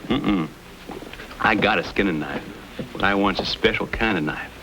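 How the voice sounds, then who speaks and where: A man's voice: low voice, normal speed. An actor playing a bold, courteous young frontiersman with folksy phrasing in an old-time radio Western. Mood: determined, easygoing. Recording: noisy old radio drama recording.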